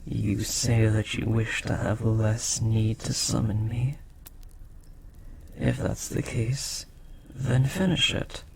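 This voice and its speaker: Man playing a gravelly, possessive demon. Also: fairly low pitch, steady tone, noisy background. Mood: insistent, commanding. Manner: intense, possessive, formal speech with dramatic pauses. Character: powerful male demon bound to a sorcerer, possessive and devoted. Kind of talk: intimate scripted audio roleplay.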